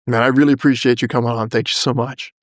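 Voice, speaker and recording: A man's voice: medium pitch, fairly even intonation, quick pace, no echo, clean studio sound. A man hosting a podcast in a casual interview style, speaking bluntly and emphatically. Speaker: podcast host.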